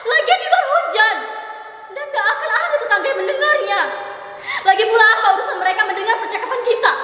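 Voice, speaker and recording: A woman reading the part of a sharp-tongued young woman arguing with her older sister, with sarcastic, biting retorts. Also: very high-pitched, expressive, quick pace, heavily acted. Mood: defiant. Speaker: dramatic reader. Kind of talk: dramatic reading of a stage script. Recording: echoey hall with faint noise.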